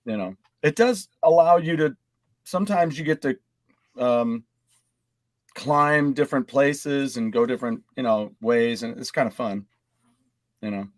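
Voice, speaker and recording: A man's voice: medium pitch, measured pace, long pauses, dry recording. A man chatting casually as a podcast panelist, easygoing and joking.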